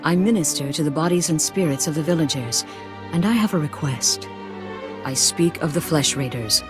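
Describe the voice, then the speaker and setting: An actor playing a humble, solemn village healer-priest in a video game, formal, courteous and ominous. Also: male voice, on the high side, normal speed, music in the background, lightly acted. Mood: solemn urgency. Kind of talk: video game dialogue acting.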